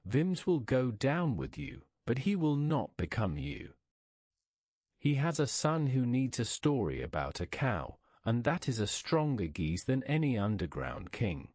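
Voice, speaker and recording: A man with a British accent reading a scripted bedtime story in a measured, deliberate way as narrator. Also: mid-range voice, sing-song, a little mellow, no room sound, clean studio sound. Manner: measured, deliberate read-aloud delivery.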